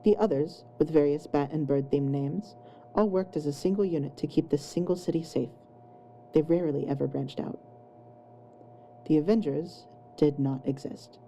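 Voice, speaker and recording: A woman's voice: low voice, warm tone, low-quality recording. A woman narrating a scripted fan fiction story as an audiobook-style reader. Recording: home recording with faint noise.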